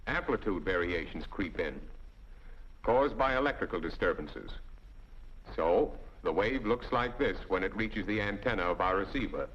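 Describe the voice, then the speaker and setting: A man's voice: low-pitched, expressive, moderate pace. An American man delivers scripted instructional narration as a training film narrator, explaining methodically step by step in a didactic way. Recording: dull 1940s film soundtrack.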